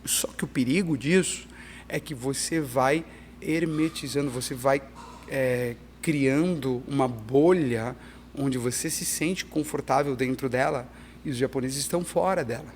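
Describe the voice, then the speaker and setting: A middle-aged missionary pastor, talking animatedly as a guest in an interview, with frequent restarts. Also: male voice, mid-range voice, measured pace, a little hiss or hum. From Peru and Brazil. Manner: animated, emphatic, restarts often. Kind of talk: conversational interview.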